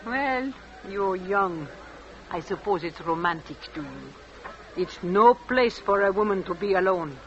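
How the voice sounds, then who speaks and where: A woman's voice: medium pitch, animated, a little slow, a little hiss or hum, lightly acted. Actress as nosy gossiping village shopkeeper woman. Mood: disapproving. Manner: chatty, insinuating, blunt. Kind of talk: 1940s radio drama acting. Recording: dull old radio recording.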